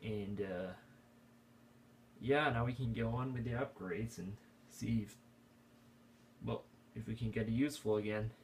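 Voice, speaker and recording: A man's voice: lowish voice, steady tone, a little slow, long pauses, a little hiss or hum. A man from Austria chatting casually as he tinkers with an old computer, rambling to himself and chuckling now and then. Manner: rambling, self-talk while tinkering, chuckles often. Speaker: vintage computer hobbyist. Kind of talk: casual hands-on tech vlog.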